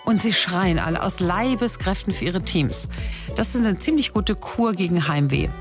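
A woman presenting a radio music feature, telling personal stories in a lively, chatty way. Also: fairly low pitch, moderate pace. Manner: lively storytelling, chatty asides. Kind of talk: personal scripted narration.

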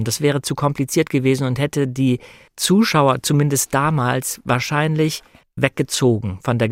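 Gravelly-voiced man discussing film, close and dry. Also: medium pitch, dry recording. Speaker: guest on a film discussion podcast. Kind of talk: conversational film and novel analysis.

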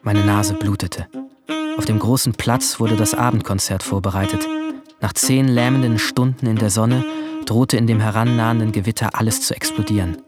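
A troubled seventeen-year-old boy acted by a man tells his story in a low voice. He is introspective and literary, brooding over each moment. It is a radio drama with music clearly behind the voice. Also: steady tone, moderate pace, lightly acted. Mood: anguished, tense. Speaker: narrator and lead character. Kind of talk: first-person radio drama narration.

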